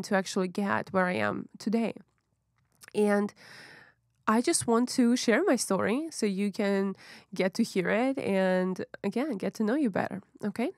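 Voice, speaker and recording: A young Russian woman, a psychologist and podcast host, telling her life story in an open, unhurried way with frequent fillers. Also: mid-range voice, no room sound, clean studio sound.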